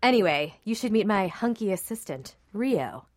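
A woman playing a smooth, scheming record company president in a parody radio play. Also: medium pitch, big pitch swings, moderate pace, dry recording, studio quality. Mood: businesslike, scheming.

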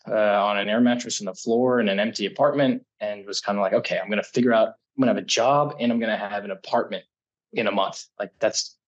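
American man in his thirties, lowish husky voice, casual and rambling interview storytelling. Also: dull audio. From United States. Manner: rambling, self-correcting, laughs often. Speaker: guest, startup incubator energy lead.

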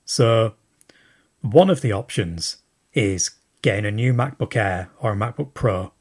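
A man's voice: on the low side, unhurried, a little mellow, breathy edge. A man sending a recorded question to a show, thinking aloud and rambling with plenty of fillers. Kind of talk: recorded listener message.

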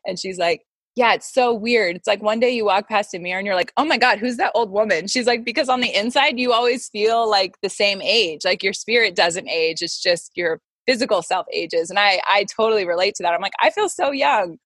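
A woman's voice: mid-range voice, a little fast, fairly bright tone, husky, no echo. A woman in her thirties speaks in a casual, conversational interview style with a mid-range voice. She is candid and emphatic, often slipping into quoted speech, and the sound is dry and close. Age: 30s. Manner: candid, emphatic, peppers talk with "like" and acted-out quotes. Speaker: interview guest, modeling agency founder and mentor.

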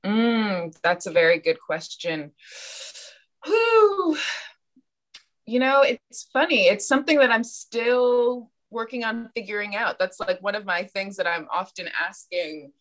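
A woman's voice: mid-range voice, expressive, a little slow, a bit bright. A woman of thirty, a podcast guest, talking in a reflective, searching way and laughing at herself now and then. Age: 30s. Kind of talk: casual interview chat.

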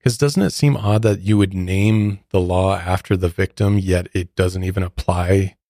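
A man's voice: sits low, fairly even intonation, normal speed, warm tone, dry recording, clean studio sound. An American man co-hosting a true crime podcast, giving his opinions in a casual, conversational way. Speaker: podcast co-host.

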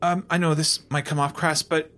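A woman's voice: low voice, flat delivery, moderate pace, fairly bright tone. A woman playing a work-shy, anxious space crew member in an improvised sci-fi comedy, stammering and evasive.

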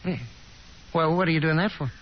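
A man's voice: fairly high pitch, steady tone, a little fast, close to an everyday voice. An actor playing an affable young working man with an American accent, stammering and eager to please in a 1950s radio drama. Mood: apologetic, polite. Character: affable, awkward young working man, earnest gentleman caller. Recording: muffled 1950s radio recording, music behind.

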